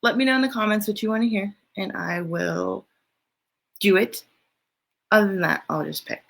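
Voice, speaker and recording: A woman with a creaky, mid-pitched voice hosts story time for children. She talks informally and rambles a little, thinking aloud between bits of reading and chat. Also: normal speed, fairly bright tone, slight background noise. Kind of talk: reading a picture book aloud, then casual chat to children.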